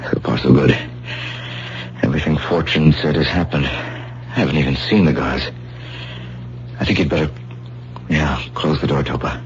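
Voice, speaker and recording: A wary man in over his head on a heist, in a vintage radio drama. His voice is very low, his talk terse and halting. The recording is dull, with a faint hum under it. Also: animated, exaggerated voice. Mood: nervous, hushed. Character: uneasy, deep-voiced man drawn into a risky heist. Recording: muffled, humming old radio broadcast recording.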